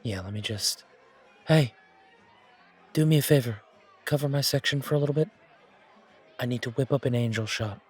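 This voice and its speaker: A man's voice: mid-range voice, moderate pace, pauses often, slightly rough, close to an everyday voice. Man playing a friendly bartender over crowd chatter. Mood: concerned, reassuring. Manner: chatty, hesitant, soothing. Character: friendly, reassuring male bartender at a supernatural bar. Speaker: audio roleplay voice actor. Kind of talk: casual, naturalistic one-sided roleplay acting. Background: bar crowd chatter.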